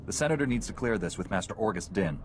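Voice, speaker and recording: Deep, brisk noble young knight male actor. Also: lively intonation, natural voice. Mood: earnest. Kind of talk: video game cutscene acting. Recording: noisy ambience behind voice.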